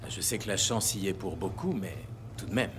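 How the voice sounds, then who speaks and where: An actor playing a composed, courteous justice official in a crime audio drama, speaking in a formal, articulate and measured way. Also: male voice, low-pitched, noisy background, close to an everyday voice. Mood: composed, satisfied.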